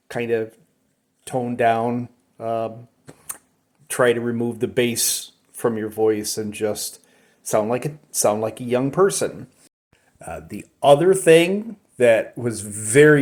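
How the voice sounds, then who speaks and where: A middle-aged man tells personal stories casually. His delivery is unhurried and reflective, halting between short phrases, at an average male pitch. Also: a little mellow. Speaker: storyteller, amateur voice actor.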